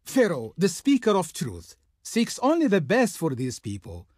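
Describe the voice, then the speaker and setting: A grandiose servant of the Pharaoh, a video game diplomat full of haughty ceremony. The delivery is slow and theatrical, with wide, sweeping intonation. Every phrase is formal and declaimed, in a dry, close studio sound. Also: medium pitch, huge pitch swings, darkish tone, husky, no room sound, full character voice. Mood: stately demanding. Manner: pompous, declamatory, formal, drawn-out. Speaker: game character, faction envoy.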